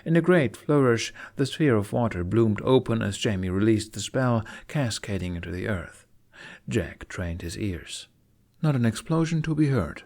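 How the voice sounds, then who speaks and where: A man's voice: on the low side, lively intonation, normal speed, a little mellow. A man narrating a scripted fiction story expressively, as an audiobook reader. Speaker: narrator of a fan fiction podfic.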